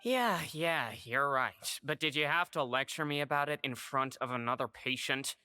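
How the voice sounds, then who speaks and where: A man's voice: medium pitch, normal speed, bright, forceful tone, smooth, dry recording. A man playing an easygoing, teasing male patient in a video game, with an offhand way of talking. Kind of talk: video game dialogue acting.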